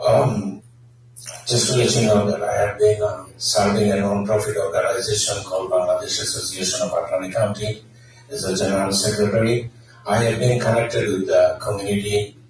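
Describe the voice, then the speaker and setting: A man's voice: lowish voice, flat delivery, normal speed, a little hiss or hum. Hesitant man answering in an echoey hall. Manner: hesitant, many fillers. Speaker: city council candidate. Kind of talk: candidate forum answers. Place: public meeting hall. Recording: echoey hall, distant mic.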